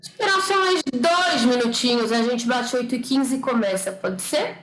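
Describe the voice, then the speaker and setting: A woman's voice: on the high side, moderate pace, a bit bright, soft background music. A woman speech therapist hosting an informal online study group, welcoming people in a chatty way and often asking "né?". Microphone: computer or phone on a video call. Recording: roomy echo, faint noise.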